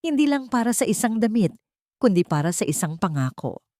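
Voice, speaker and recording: A woman with a Filipino accent narrating a scripted first-person drama in measured, deliberate phrases. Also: medium pitch, sing-song, a little mellow, dry recording, clean studio sound. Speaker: story narrator.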